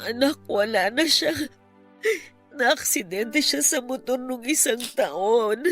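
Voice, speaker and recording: A woman's voice: on the high side, expressive, takes it slowly, fairly bright tone, faint music behind, full character voice. A woman playing an anguished, loving, middle-aged mother in a Filipino radio drama, with a tearful, pleading delivery. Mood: subdued, gentle. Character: anguished, loving mother reunited with her long-lost son.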